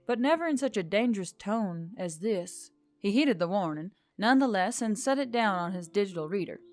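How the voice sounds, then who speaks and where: A woman's voice: mid-range voice, expressive, normal speed, darkish tone, no echo. A woman reading scripted fiction as a narrator, telling a story in prose. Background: background music.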